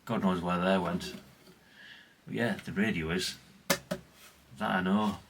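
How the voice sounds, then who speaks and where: A man's voice: low-pitched, fairly even intonation, slow, creaky, background noise. A middle-aged man chatting casually and colloquially in a rambling vlog, often tagging questions onto what he says. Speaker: vlogger, radio collector.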